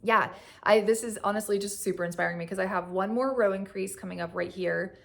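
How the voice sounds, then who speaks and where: A young woman, slightly hoarse from a cough, chatting casually at home about her knitting, rambling with lots of fillers and little laughs. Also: medium pitch, a little fast, fairly bright tone. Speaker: knitting vlogger. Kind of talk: casual chat. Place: at home on a couch.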